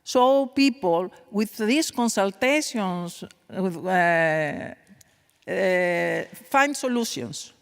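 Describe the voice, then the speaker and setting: A woman, a conference panelist answering an audience question in halting English full of fillers. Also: medium pitch, expressive, gravelly, smooth. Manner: hesitant, halting non-native English with many fillers. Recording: long reverb of a large conference hall.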